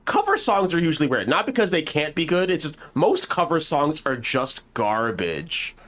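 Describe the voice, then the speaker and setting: A man's voice: fairly high pitch, very animated, moderate pace, fairly bright tone. A man co-hosting a casual podcast, riffing in a sarcastic, mocking and opinionated way. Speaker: podcast co-host. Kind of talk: casual joking podcast banter.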